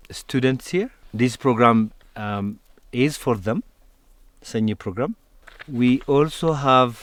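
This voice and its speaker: A man, a program director and facilitator welcoming a group in a measured way with pauses. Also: mid-range voice. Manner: measured, pausing with fillers.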